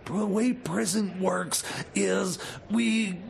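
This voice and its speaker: A man playing a flustered, stammering prison officer with a creaky voice in an improvised comedy radio play. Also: high voice, fairly even intonation, drawn-out words, slight background noise, full character voice. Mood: flustered, pleading. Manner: hesitant, stammering, repeats words.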